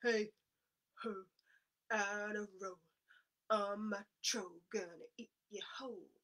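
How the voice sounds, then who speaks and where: A woman voicing a greedy male troll who chants a loud rhyme. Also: on the high side, steady tone, slow pace. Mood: menacing.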